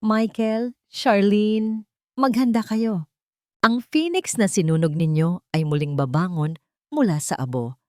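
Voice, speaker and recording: A woman's voice: medium pitch, unhurried, no echo, studio quality, voice pushed up, lightly acted. A woman voicing a wounded, betrayed wife who is determined and intense, in an audiobook drama. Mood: vengeful. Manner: intense, emphatic.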